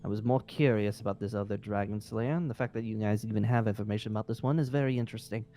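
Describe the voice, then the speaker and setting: A man's voice: lowish voice, racing, dark tone, natural voice. A man playing a skeptical, stubborn, centuries-old dragon slayer in an improvised fantasy game roleplay. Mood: cautious. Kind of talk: improvised Minecraft roleplay acting.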